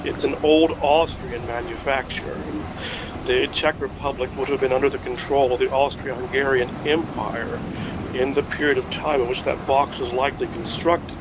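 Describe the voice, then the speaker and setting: An actor playing a knowledgeable history buff in an audio drama, explaining in measured, careful phrases. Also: male voice, mid-range voice, fairly even intonation, background noise, close to an everyday voice. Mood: measured, informative. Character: knowledgeable, measured amateur historian named Steve. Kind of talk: naturalistic fiction podcast acting, explanatory.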